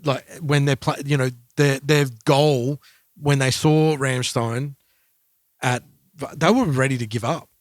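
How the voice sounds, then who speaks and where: Measured man chatting about music. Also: mid-range voice, fairly even intonation, no echo, clean studio sound. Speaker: podcast co-host. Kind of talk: casual music discussion.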